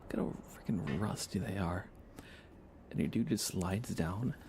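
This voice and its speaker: Low, gravelly male ASMR game streamer. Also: unhurried, a little mellow, slight background noise. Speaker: livestream host.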